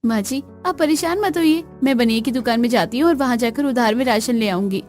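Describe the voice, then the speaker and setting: A woman's voice: fairly high pitch, fairly quick, darkish tone, faint music behind, natural voice. A woman voicing a poor, earnest young daughter-in-law in a cartoon story, polite, pleading and determined. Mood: reassuring.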